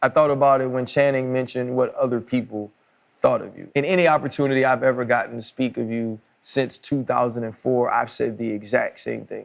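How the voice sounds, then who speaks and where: A man's voice: mid-range voice, creaky. A man hosting a podcast, speaking earnestly in praise of a former coach before asking him a question. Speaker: podcast host, former player. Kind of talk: casual podcast conversation.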